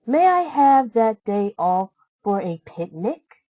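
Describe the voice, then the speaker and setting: A gentle, cheerful young storybook heroine, as a woman reader plays her. The delivery is wishful and sweet, lifted slightly in pitch, with a lilting melody. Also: big pitch swings, slow, dry recording, muffled recording, full character voice. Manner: gentle, bright-hearted, wishful.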